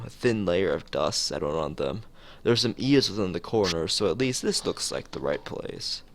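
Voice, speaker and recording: A man's voice: lowish voice, a bit bright. A man reading visual novel text aloud as a streamer, sometimes stumbling and rereading phrases.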